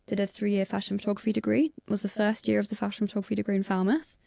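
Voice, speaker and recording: A woman speaking calmly and thoughtfully as a guest in a casual interview. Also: medium pitch, very fast, vocal fry, no echo, clean studio sound. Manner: calm, thoughtful, introverted. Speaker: photographer guest.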